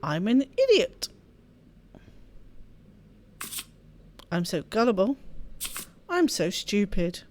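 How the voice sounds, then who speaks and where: A woman's voice: mid-range voice, big pitch swings, a little slow, a little mellow, a little hiss or hum. A woman voicing a hurt, self-blaming rebellious eighteen-year-old girl from a story game in bitter, dramatic self-talk. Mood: self-reproach.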